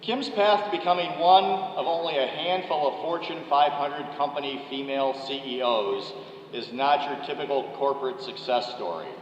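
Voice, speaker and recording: A man, a college dean, reading a measured, formal introduction of a guest speaker from a podium microphone in a large ceremony hall. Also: fairly high pitch, a little hiss or hum. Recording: echoey, dull hall recording.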